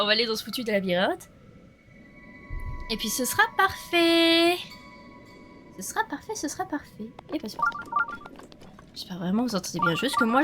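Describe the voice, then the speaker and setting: Chatty young woman, nasal from a cold. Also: a little high. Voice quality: slightly nasal. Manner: chatty, laughs often, talks to viewers. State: stuffy nose from a cold. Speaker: let's play streamer. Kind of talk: casual gameplay commentary. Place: at home. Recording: game music behind the voice. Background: game music.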